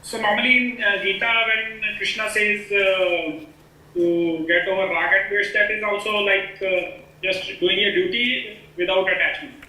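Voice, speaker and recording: A man asking his teacher a question in class, hesitant with many pauses. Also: high-pitched, fairly even intonation, sharp tone, heavy reverb, poor audio.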